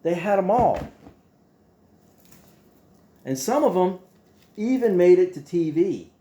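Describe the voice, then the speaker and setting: A man hosting a casual monologue to his viewers in a folksy, playful way, halting and repeating himself as he goes. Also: sits high, very animated, mellow. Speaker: host.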